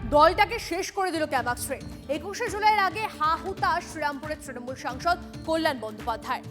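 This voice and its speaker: A woman's voice: high voice, animated, a little fast, over music. A woman with a West Bengal accent presenting news as an anchor in an urgent, emphatic, dramatic scripted style.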